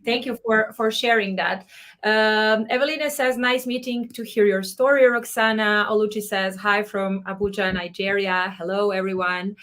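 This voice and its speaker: A woman's voice: mid-range voice, steady tone, normal speed. Woman hosting an online talk. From Serbia. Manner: warm encouraging questioner, reads chat comments aloud. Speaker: host. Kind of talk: online panel interview.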